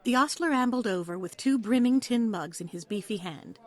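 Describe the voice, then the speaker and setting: A woman's voice: a little high, animated, moderate pace, darkish tone. A woman reading a story aloud as narrator, in a dramatic, scripted storytelling style.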